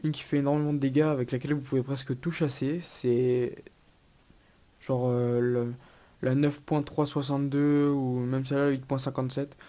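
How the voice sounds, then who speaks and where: A man's voice: mid-range voice, little pitch movement, moderate pace, warm tone. A young man with a cold, giving a casual gameplay tutorial with many hesitations and restarts. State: has a cold. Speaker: gaming YouTuber.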